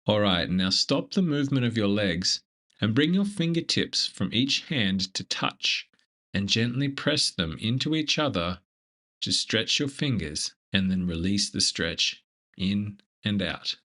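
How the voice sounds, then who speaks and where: A man leading a scripted guided meditation in a gentle, measured, instructive manner. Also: on the low side, animated.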